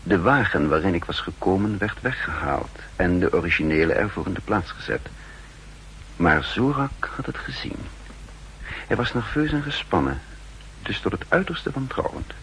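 An actor playing a shrewd, calm spymaster in a 1960s radio play, speaking in a measured, deliberate way. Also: male voice, deep voice, poor audio. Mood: tense narrating. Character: shrewd, calm male spy posing as counterintelligence chief. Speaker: lead character and narrator. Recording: hissy, humming 1960s radio recording.

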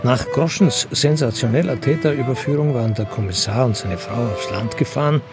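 A man narrates a radio drama in a creaky, gravel-edged voice. His reading is scripted storytelling, with instrumental music playing behind him. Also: mid-range voice, a little fast.

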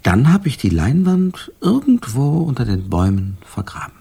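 An actor playing a brooding, jealous man with a husky, breathy voice, formally recalling a night in a radio crime drama. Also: mid-range voice, big pitch swings, normal speed, dark tone, exaggerated voice. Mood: guilty, brooding.

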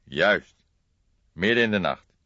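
A man voicing an old, grey-haired police detective, patient and measured, in an audiobook. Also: low voice, lively intonation, bright, forceful tone, no room sound, heavily acted. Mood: firm, instructing. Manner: measured, thoughtful, hesitant hums. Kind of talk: audiobook character dialogue. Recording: dull lo-fi recording.

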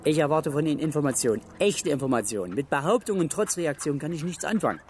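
A dogged village policeman in a radio play. His voice sits a little high for a man, and he talks in a measured, formal, insistent way, like someone going by the book. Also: big pitch swings, a little mellow, a little hiss or hum. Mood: patient, insistent. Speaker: actor in a radio drama. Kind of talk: naturalistic German radio play dialogue.

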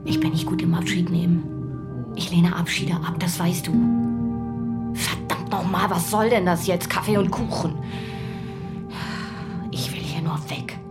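A woman's voice: lowish voice, measured pace, pauses often. An actress playing an overwrought, sardonic woman around forty, speaking in blunt, agitated bursts of naturalistic radio drama dialogue. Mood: bitter, reluctant. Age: 40s. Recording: loud music behind. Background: music.

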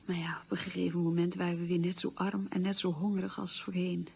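A woman playing a resilient survivor of childhood poverty, recounting memories in a plain, unhurried monologue for radio. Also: on the low side, monotone, creaky, a little hiss or hum. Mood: reflective. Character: resilient woman recalling a poor, hungry wartime childhood. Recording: dull, lo-fi radio recording.

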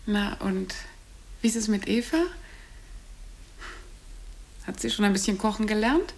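An actress playing a self-satisfied, meddling housewife in a German radio play, polite but pointedly condescending. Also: female voice, medium pitch, fairly even intonation, measured pace, vocal fry.

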